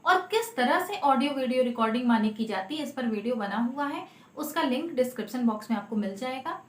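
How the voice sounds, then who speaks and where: A woman's voice: sits high, animated, a little fast. A woman explaining law informally as an advocate, emphatic, often repeating and rephrasing her key points. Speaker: lawyer presenting legal advice videos. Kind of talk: informal explanatory legal talk to camera. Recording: echoey untreated room.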